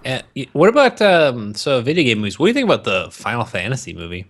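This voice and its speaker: A man with an average-pitched voice co-hosts a casual podcast. He chats about films in a jokey, crude way, full of slang. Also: very animated, fast talker. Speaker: podcast co-host.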